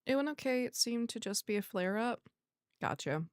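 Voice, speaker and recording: A soft-voiced woman hosting a livestream, chatting casually with viewers and muttering asides to herself. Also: a little high, lively intonation, moderate pace, dry recording, clean studio sound. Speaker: livestream host.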